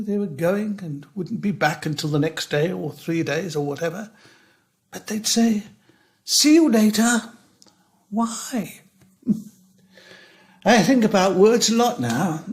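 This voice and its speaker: A man performs a lonely retired schoolteacher of nearly eighty, living in a care home. He speaks slowly and reflectively, with measured pauses and a fairly high pitch for a man. His delivery of this stage monologue is wry and rises and falls expressively. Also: creaky. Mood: mimicking others. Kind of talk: dramatic monologue reading.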